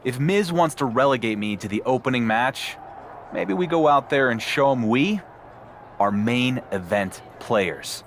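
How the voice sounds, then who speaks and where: A man's voice: mid-range voice, animated, unhurried, lightly acted. A man playing a determined wrestling champion in a game cutscene, talking with dry, wry sarcasm over slight background noise. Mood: dry, scheming. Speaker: wrestler character. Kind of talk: conversational video game cutscene.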